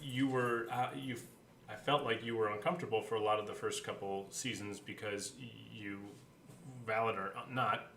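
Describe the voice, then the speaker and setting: A man co-hosting a casual behind-the-scenes chat, telling stories haltingly and often restarting his sentences. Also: on the low side, fairly even intonation, sharp tone, a little hiss or hum. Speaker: podcast co-host. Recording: echoey room sound.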